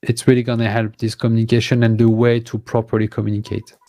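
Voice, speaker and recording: A man's voice: mid-range voice, completely flat delivery, fast talker, mellow. A man working as an interpreter, relaying speech piece by piece and rewording as he goes. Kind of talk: consecutive spoken interpreting. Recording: echoey room.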